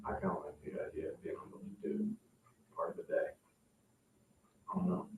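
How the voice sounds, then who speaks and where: A man on a board, asking questions and thinking out loud in an informal meeting discussion. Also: fairly quick. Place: indoor public meeting room. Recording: echoey room, distant mic, faint noise.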